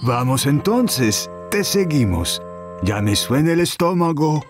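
Abuelo amable, muy pausado. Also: male voice, on the high side, sing-song, over music, heavily acted. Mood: friendly. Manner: gentle, patient, explaining to a child. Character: kind, encouraging grandfather, older man. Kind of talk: children's cartoon dubbing.

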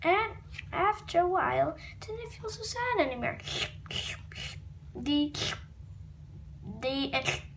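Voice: extremely high pitch, lively intonation, slow, gravelly, long pauses, fairly bright tone. A child hosting a read-aloud of a comic book, stumbling over words and wandering off into asides. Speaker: read-aloud video host. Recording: noisy home recording with room ambience.

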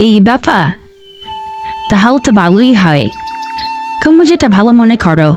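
A woman voicing a sharp-tongued, stubborn fox wife in a cartoon, scolding and sarcastic. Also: on the high side, very animated, moderate pace, muffled recording, faint music behind. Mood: approving. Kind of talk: cartoon voice acting.

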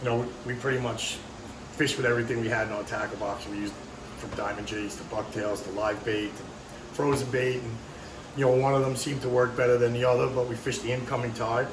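Halting middle-aged angler, off-mic. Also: male voice, mid-range voice, fairly even intonation, recorded from a distance. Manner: halting, lots of fillers. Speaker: tournament winner being interviewed. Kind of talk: casual interview. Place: bait and tackle shop. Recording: roomy, off-mic, noisy.

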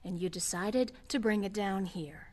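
A woman's voice: medium pitch, normal speed, close to an everyday voice. Actress as a curt, commanding underground gang queen. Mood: cold, menacing. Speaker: actor. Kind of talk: audio drama acting.

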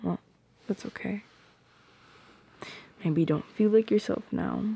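A woman voicing a tender, playful, possessive girlfriend in an ASMR roleplay, speaking softly and intimately close to the microphone in a breathy, often whispered voice. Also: medium pitch, exaggerated voice. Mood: soothing, tender. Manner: soft, intimate, unhurried, teasing. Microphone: close microphone, dry.

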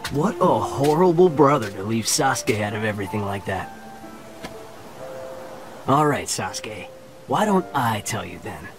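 A man's voice: fairly low pitch, lively intonation, a little slow, pauses often, over music. An actor playing an easygoing, teasing yet resolute young male ninja in an anime dub, casual and earnest. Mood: playful teasing.

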